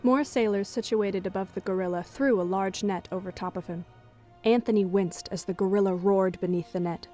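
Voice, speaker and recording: A woman reads scripted story narration for an audio drama. Her voice sits at a medium pitch, with music playing softly behind it. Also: steady tone, moderate pace.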